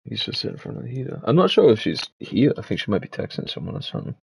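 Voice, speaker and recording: A man's voice: medium pitch, sing-song, fast talker, vocal fry, husky. A man chatting casually on a live stream, muttering to himself as he works and swearing now and then.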